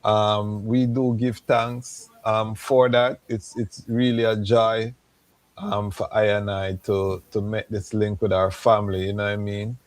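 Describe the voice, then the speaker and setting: A man's voice: fairly low pitch, flat delivery, unhurried, dry recording. A man hosting a live online show, who talks informally and conversationally, with frequent fillers. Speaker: host. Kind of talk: casual live interview.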